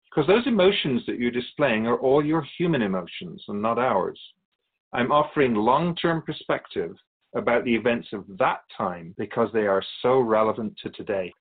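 Radio drama spirit, played by a man: calm, earnest, formal storyteller, measured and didactic. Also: mid-range voice, narrow, tinny audio, natural voice. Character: calm otherworldly male soul keeper, earnest storytelling spirit. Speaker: interviewee.

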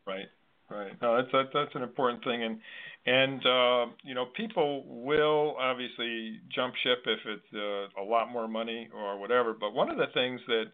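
A man hosting a conversational interview, speaking in a measured, agreeable way. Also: medium pitch. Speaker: discussion host. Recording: narrow tinny phone-like line.

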